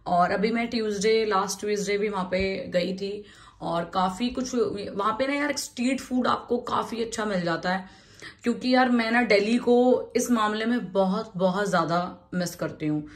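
A woman's voice: medium pitch, moderate pace. A woman in her thirties chatting casually to her phone camera as a vlogger, first at home and then in a street market, mixing English words into her talk. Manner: chatty, mixes English into Hindi, says "yaar" often. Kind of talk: casual vlog chat. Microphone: phone held in hand.

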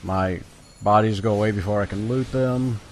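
A man's voice: lowish voice, moderate pace, darkish tone. A man giving casual, playful gameplay commentary, talking to himself and to the game as he plays. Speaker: gaming video creator. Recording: game combat noise behind. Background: video game sound effects.

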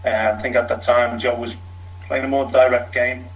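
A man's voice: mid-range voice, little pitch movement, moderate pace, a bit bright, low-quality recording. A middle-aged man with a Liverpool accent recounts his playing days in plain, frank terms. He talks in long runs and leans on fillers as he goes. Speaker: former footballer, interviewee. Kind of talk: candid storytelling.

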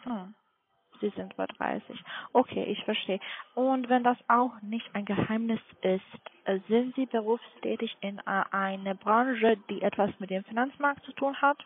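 A woman with a foreign accent in German making a polite, halting sales call as a non-native speaker. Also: fairly high pitch, slightly rough. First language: not German. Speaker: telemarketing caller for a trading firm. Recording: tinny phone line.

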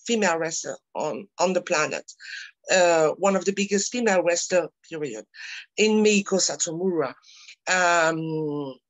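French-accented woman, halting and polite. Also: lowish voice, no echo, rough recording. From France. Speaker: magazine journalist asking questions. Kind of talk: press-call questioning.

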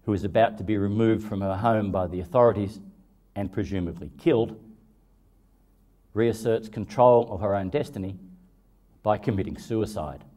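A man in his fifties giving prepared commentary as a translator, measured and formal. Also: on the low side, a little mellow.

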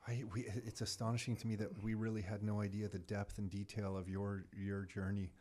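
A middle-aged man hosting a podcast interview, friendly and encouraging, with animated exclamations, on a studio mic in an office. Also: on the low side, a little fast. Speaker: podcast host. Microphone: studio mic on boom, headphones.

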